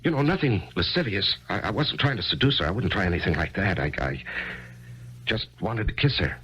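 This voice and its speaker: An actor in a 1970s radio drama playing a gentle, earnest middle-aged author in grief, who stammers when flustered, heard on a muffled old broadcast. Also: male voice, lowish voice, lively intonation, a little fast, slightly rough.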